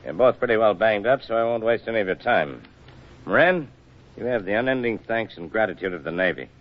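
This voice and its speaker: An actor playing a gruff older navy officer, formal and measured, in a 1940s radio drama. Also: male voice, fairly low pitch, animated, lightly acted. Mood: formal, grave. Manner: stern, measured, formal delivery. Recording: dull vintage 1940s radio recording.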